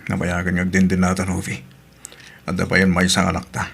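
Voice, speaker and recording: Raspy, gravelly Ilocano husband. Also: male voice, low voice, monotone, a little fast, a bit bright, heavily acted. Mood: weary, pleading. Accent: Filipino, Ilocano. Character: weary husband pleading to keep his family together. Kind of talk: radio drama acting.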